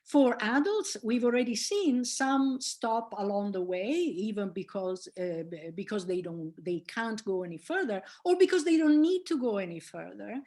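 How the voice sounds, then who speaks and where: A woman's voice: a little high, expressive, normal speed, few pauses, darkish tone. Woman giving a lecture. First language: Italian. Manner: explanatory, with frequent fillers and restarts. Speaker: linguistics professor. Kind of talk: academic public lecture.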